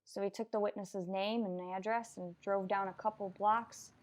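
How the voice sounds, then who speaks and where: A woman's voice: mid-range voice, steady tone, moderate pace, natural voice. A woman playing a tough, businesslike police detective sergeant who narrates a radio crime drama in a clipped, matter-of-fact way. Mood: grim narration. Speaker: narrator and lead actor.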